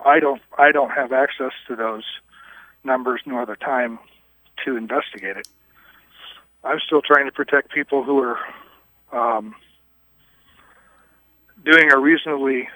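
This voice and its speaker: Halting male interviewee on a dry phone call. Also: medium pitch, bright, forceful tone, dry recording. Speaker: union vice president, anonymous interviewee. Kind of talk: candid phone interview answers. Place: telephone call. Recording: recorded telephone call.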